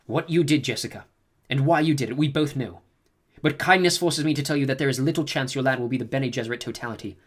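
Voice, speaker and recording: A man's voice: medium pitch, quick pace, voice pushed up, natural voice. A man voicing a stern, commanding old woman who scolds sharply in an audiobook reading. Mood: probing. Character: stern imperious old woman, a reverend mother.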